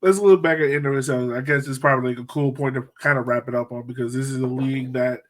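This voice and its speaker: A man's voice: mid-range voice, fairly even intonation, fast. A man hosting a podcast, asking informal, meandering questions in a casual chatty style. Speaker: podcast host.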